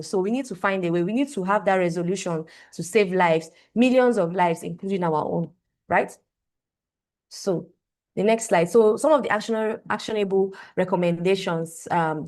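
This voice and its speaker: Young woman speaker on a call, emphatic, repetitive, checks in with the audience. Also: mid-range voice. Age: young adult. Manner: emphatic, repeats phrases, asks the audience "right?". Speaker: conference speaker. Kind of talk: motivational talk on a livestream. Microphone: video call. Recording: online call audio.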